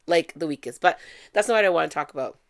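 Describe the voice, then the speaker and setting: A woman's voice: deep voice, expressive, fast talker. A woman hosting a casual solo podcast, talking in a chatty, blunt way with a stuffy, nasal voice. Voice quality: nasal from allergies. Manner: chatty, blunt, swears freely. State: congested. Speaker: podcast host.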